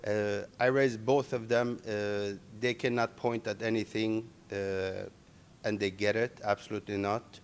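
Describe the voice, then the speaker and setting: A middle-aged man, born in Iran and raised in Sweden, answering interview questions in halting English full of restarts. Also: fairly low pitch, little pitch movement, a little hiss or hum. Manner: halting non-native English with many restarts. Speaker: interviewee, MMA organization owner.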